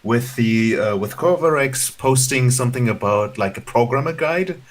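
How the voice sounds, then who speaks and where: A man's voice: mid-range voice, lively intonation, normal speed. A man in casual chat with a hesitant, meandering delivery. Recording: faint hiss behind voice.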